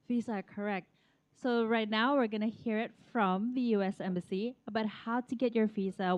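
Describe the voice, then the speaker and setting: A woman's voice: mid-range voice, no room sound, muffled recording. A woman speaks as an event host, addressing an audience and introducing a speaker.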